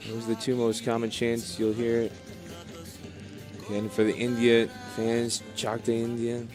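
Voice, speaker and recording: A man's voice: mid-range voice, monotone, a little slow, music in the background. A man doing live cricket commentary in an enthusiastic, talkative way, explaining things as he goes. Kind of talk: live sports commentary with chatty asides.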